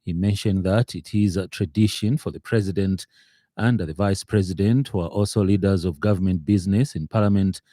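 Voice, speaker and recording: A man with a Zambian accent reading a scripted radio news bulletin in a formal, measured way. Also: low voice, dark tone, no echo, clean studio sound.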